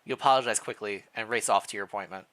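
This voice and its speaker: A streamer reading fan fiction aloud on a casual livestream, playful and reacting out loud, sometimes stumbling over the text. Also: medium pitch, fast, low-quality recording.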